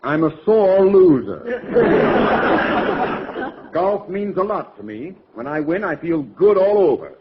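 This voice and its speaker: An American actor playing a gloating, bossy employer in a 1940s radio comedy, blustery and needling. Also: male voice, sits high, lively intonation, takes it slowly, pauses often. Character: gloating, bossy male boss and sore-loser golfer. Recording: dull, hissy old radio recording.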